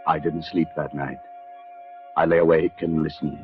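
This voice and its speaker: A man's voice: very deep voice, little pitch movement, moderate pace, over loud music. A man acting an anxious, desperate hero who narrates a supernatural thriller in 1940s radio style, on an old muffled recording. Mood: weary relief. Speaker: lead actor and narrator in a radio drama.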